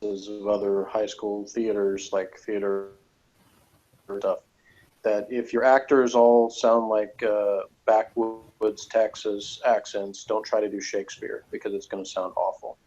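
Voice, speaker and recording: A man's voice: fairly low pitch, little pitch movement, unhurried, darkish tone, highs cut off. An American man in casual discussion, rambling a little and hesitant, speaking plainly. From United States. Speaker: podcast reviewer.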